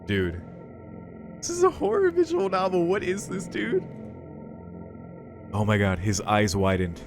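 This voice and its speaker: A man's voice: high-pitched, huge pitch swings, a little slow, gravelly. A man playing through a visual novel, reading its text aloud dramatically and reacting in casual, slangy speech. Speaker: video game streamer doing a playthrough. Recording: music behind the voice. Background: game music.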